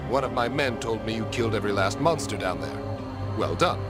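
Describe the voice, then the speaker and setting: An actor playing a commanding, approving quest giver in a fantasy video game. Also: male voice, lowish voice, animated, fairly quick, lightly acted. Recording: loud music under game dialogue.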